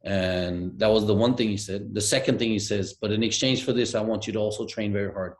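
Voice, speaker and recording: A man's voice: lowish voice, fairly even intonation, a little fast. A middle-aged man from Denmark, a guest telling stories in a hesitant, reflective way with many fillers, heard over a video call from a room at home. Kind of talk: reflective conversational storytelling. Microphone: video call webcam.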